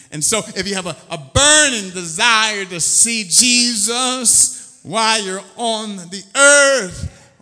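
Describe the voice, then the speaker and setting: Pastor guy preaching slow and fervent, bright ringing voice, long church echo. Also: sits high, clean tone. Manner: fervent preaching with drawn-out phrases and repeated "oh Lord". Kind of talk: sermon. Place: church sanctuary.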